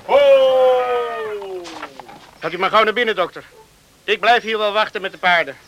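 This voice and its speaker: Urgent young villager w horses in old radio play, calls out loud, slow spaced phrases, really high voice. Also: male voice, muffled recording, slight background noise. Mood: relieved. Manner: calling out loudly. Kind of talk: Dutch radio play acting.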